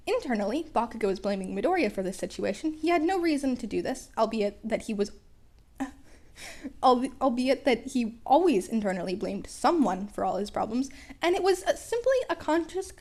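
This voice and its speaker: A woman's voice: on the high side, darkish tone. A woman narrating a story in a casual amateur style, with wry, playful asides and stumbles over the text. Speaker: podfic reader.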